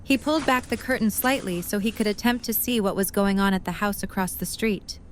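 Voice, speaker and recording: A woman's voice: medium pitch, a little fast. A woman reading scripted third-person narration for an audio drama. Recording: faint background ambient noise.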